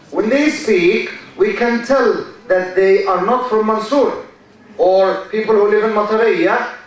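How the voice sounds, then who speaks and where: Egyptian man lecturing slowly in an echoey hall. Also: high-pitched, a bit bright. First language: Arabic. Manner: slow, repeats key words, quizzes students. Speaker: university lecturer. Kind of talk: classroom lecture. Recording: echoey hall, mic far off.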